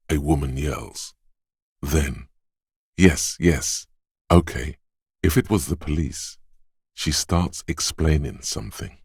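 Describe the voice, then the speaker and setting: An actor voicing a watchful inner sense in a video game, narrating slowly and deliberately. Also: male voice, rumbling low, darkish tone, breathy edge, no echo, studio quality, heavily acted. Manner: slow, measured, deliberate delivery.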